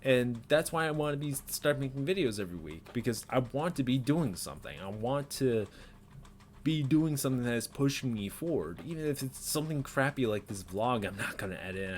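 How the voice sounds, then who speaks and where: A man's voice: medium pitch, lively intonation, a little fast, a little hiss or hum. A man in his twenties rambling self-critically in an unedited personal vlog, with nervous laughs and many fillers, recorded in a small bedroom on a desk webcam. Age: 20s. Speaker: vlogger.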